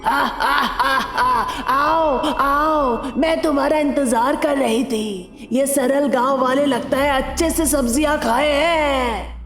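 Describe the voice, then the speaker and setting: A woman voicing a bloodthirsty female cave demon, menacing and gloating, in a story with drawn-out words. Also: high-pitched, a bit bright, reverberant, long reverb tail, pitched above the performer's own voice. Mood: gloating, luring.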